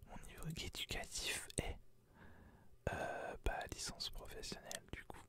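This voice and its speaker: Young French man whispering softly. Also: unhurried. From France. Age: young adult. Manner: whispering, joking and self-mocking. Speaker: freelance graphic designer. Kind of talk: whispered ASMR-style vlog.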